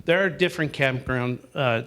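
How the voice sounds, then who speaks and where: A man's voice: medium pitch, normal speed, fairly bright tone. A man leading a campground owners' discussion in a meeting room, casual and rambling, with many fillers and restarts. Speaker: discussion group leader.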